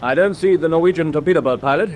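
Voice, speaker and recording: An actor with a British accent playing a bold, decisive destroyer captain in a war radio drama, giving clipped orders. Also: male voice, a little high, quick pace, a little mellow, close to an everyday voice. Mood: decisive, commanding. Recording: faint background noise.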